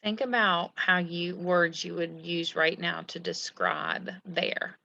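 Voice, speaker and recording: A woman's voice: on the low side, steady tone, takes it slowly, creaky, pushed and bright, dull audio, a little hiss or hum. A woman leading a read-aloud lesson like a teacher, explaining the story and prompting young listeners with questions. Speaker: teacher reading a picture book aloud.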